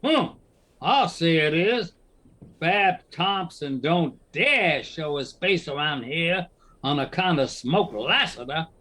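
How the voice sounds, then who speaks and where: A man's voice: on the high side, lively intonation, slow, pushed and bright, heavily acted. A man playing a nervous, scheming townsman in a western audio drama, urging and wheedling in an American Western drawl. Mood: urgent persuasion. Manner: agitated, emphatic frontier drawl.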